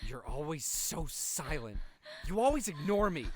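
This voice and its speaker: Man acting furious older brother, high-pitched and explosive. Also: big pitch swings, a little slow. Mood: accusing rage. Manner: explosive, profane, accusing. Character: furious, exhausted older brother who raised his sister. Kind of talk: dramatic audio fiction acting.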